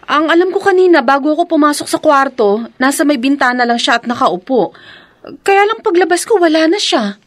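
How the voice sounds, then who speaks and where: High-voiced, puzzled young wife. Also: female voice, lively intonation, a little fast, no echo. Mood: puzzled, worried. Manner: questioning, polite. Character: puzzled young wife, respectful to her mother. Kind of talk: radio drama acting.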